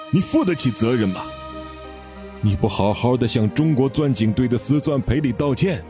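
A man voicing a brave male worker who loudly scolds a troublemaker and defends his crew. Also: medium pitch, voice pushed up, natural voice. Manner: loud, scolding, passionate.

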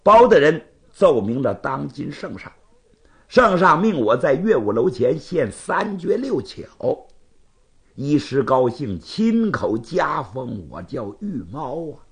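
A man's voice: on the high side, big pitch swings, heavily acted. A male storyteller voicing a courteous, composed knight-errant who reasons patiently and firmly with his challenger. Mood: calm, explaining. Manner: measured, conciliatory, gently reasoning. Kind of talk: Chinese storytelling performance.

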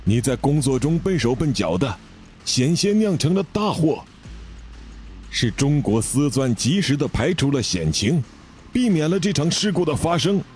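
A man voicing a brave male worker who loudly scolds a troublemaker and defends his crew. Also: fairly high pitch, very animated, normal speed, soft background music, voice pushed up. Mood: scolding. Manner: loud, scolding, passionate.

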